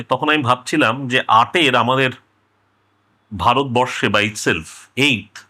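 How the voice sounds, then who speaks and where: Bright-voiced man interviewing casually. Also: medium pitch.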